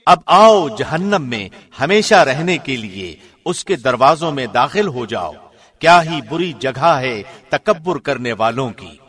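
A man reading a scripture translation as formal narration, solemn and measured, with careful enunciation. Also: mid-range voice, expressive, a bit bright. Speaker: translation narrator, radio host.

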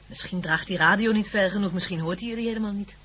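A woman's voice: mid-range voice, quick pace, fairly bright tone, slightly rough. An actress in a Dutch radio play voicing a sensible, soothing female companion. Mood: reassuring, mildly exasperated.

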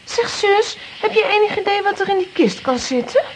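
A woman playing an excitable, anxious young adventurer in a children's audio drama, with dramatic exclamations. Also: very high-pitched, normal speed, poor audio. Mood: curious, alert.

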